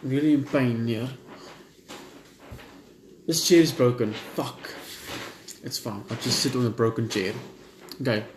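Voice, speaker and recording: A man at a middle pitch reads a story game's narration aloud and breaks off into casual chat. He stumbles and restarts words and swears now and then. The room sounds roomy, with a faint hum underneath. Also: fairly even intonation, a little slow, long pauses. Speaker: gaming channel host. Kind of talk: reading a visual novel aloud with commentary.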